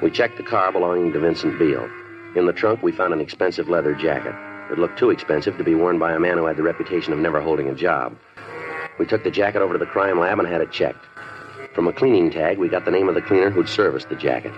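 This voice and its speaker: A terse, deep-voiced police detective. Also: male voice, quick pace. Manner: flat, clipped, matter-of-fact. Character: terse male police detective, calm and dry. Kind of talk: 1950s radio crime drama narration. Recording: dull lo-fi 1950s radio recording with music.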